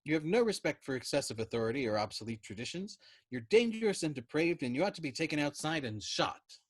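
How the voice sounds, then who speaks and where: A man's voice: on the high side, sing-song, fast talker, slightly rough, dry recording, voice pushed up. A man voicing a scornful, belligerent army psychiatrist major in an audiobook, pompous and accusing as he browbeats. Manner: emphatic, contradicting, browbeating.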